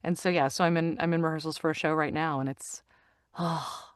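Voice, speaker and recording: A woman in her fifties speaks as a guest on a video call, animated and emphatic. She often repeats her phrases to stress them. Her voice is a little low, and the sound is close and dry. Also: fairly quick, smooth, dry recording. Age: 50s. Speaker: guest, actor and audiobook narrator. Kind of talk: casual interview conversation.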